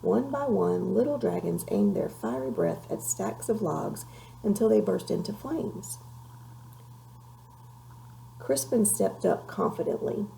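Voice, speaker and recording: Female storybook reader: lively, mellow, heavy vocal fry, measured dramatic delivery, slight background hum. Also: mid-range voice, low-quality recording. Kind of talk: expressive children's storybook narration.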